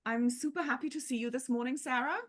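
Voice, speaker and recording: A woman's voice: high-pitched, steady tone, fast talker, a bit bright. A woman in her forties hosting an online parenting talk, upbeat and enthusiastic, on a video call from home. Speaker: host of parenting community talk. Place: home room with shelves.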